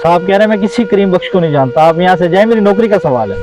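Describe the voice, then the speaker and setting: A man's voice: sits high, animated, noisy background. A man acting as a polite, deferential household servant in a moral drama, anxious to keep his job. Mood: uneasy.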